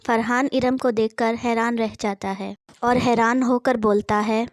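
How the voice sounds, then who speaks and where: A woman's voice: fairly high pitch, fairly even intonation, a little slow, no echo, clean studio sound. A woman narrating a scripted horror story.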